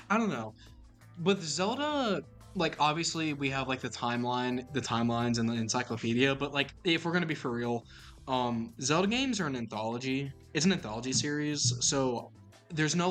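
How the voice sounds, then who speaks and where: Young male podcast co-host, excitable, rambling delivery, faint music underneath. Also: medium pitch, normal speed. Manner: rambling, excitable, restarts often. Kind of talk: casual chat.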